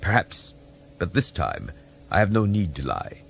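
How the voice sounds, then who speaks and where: A man playing a shrewd, commanding starship captain in an audiobook, speaking with measured authority. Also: low voice, wildly swooping pitch, vocal fry, full character voice. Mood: pressing, probing. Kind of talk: audiobook character voice.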